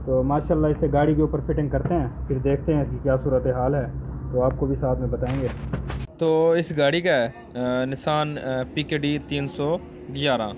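A man in his thirties talks through a brake valve repair in a truck workshop, speaking a little higher than most men. He checks in with the viewer after almost every step. Faint workshop and vehicle noise sits under his voice. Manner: explanatory, repeats "theek hai" to check in with the viewer; uses frequent Islamic phrases like inshallah and mashallah. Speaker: truck mechanic. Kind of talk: hands-on repair tutorial.

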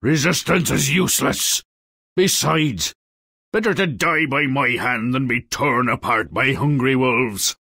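A roguish huntsman in a video game, gravelly and husky. He takes his time over words, sly and menacing, with lecherous intent and few scruples. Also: medium pitch, a little slow, pushed and bright, no echo, studio quality, full character voice. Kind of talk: video game character acting.

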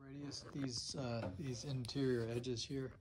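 Unhurried middle-aged man in a woodshop. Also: medium pitch, flat delivery, a little hiss or hum. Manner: unhurried, thinking aloud with many fillers. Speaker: woodworker building a canoe. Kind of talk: casual workshop narration. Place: large indoor woodworking shop.

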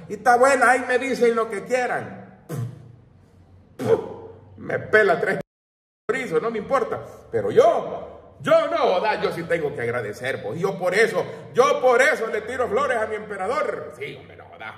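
A man's voice: sits high, sing-song, moderate pace, a bit bright. A middle-aged Salvadoran man giving a brash, slangy, emphatic opinion rant in an informal style. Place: small home room with shelves, indoors. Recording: roomy echo, distant mic.

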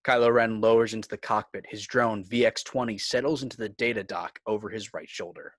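A man narrating stage directions for a scripted fan table read in a measured, clipped way. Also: on the low side, fairly even intonation, no room sound, studio quality.

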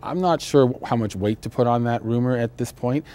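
An older man giving measured, explanatory analysis as an expert in a studio interview. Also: medium pitch, dark tone. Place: indoor studio, seated interview.

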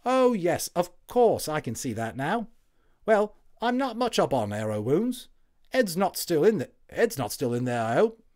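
A man's voice: a little high, big pitch swings, unhurried, darkish tone, voice pushed up. A man voicing a talkative Cockney First World War army medic in a storybook reading. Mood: brisk. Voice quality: chatty, rough-and-ready working-class speech.

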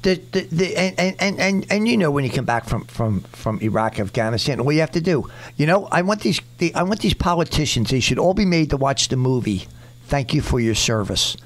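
A man's voice: fairly high pitch, lively intonation, fairly quick. A man hosting a veterans radio talk show, passionate and full of stammered restarts.

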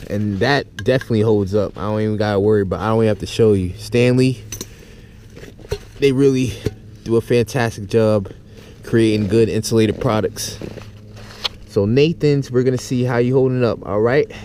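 A man's voice: on the low side, normal speed, mellow, slight background noise. A man talking casually and off the cuff to his viewers as an outdoor gear vlogger. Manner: informal, unscripted, addressing viewers. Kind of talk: casual vlog talk. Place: outdoors in a yard, in and around a tent.

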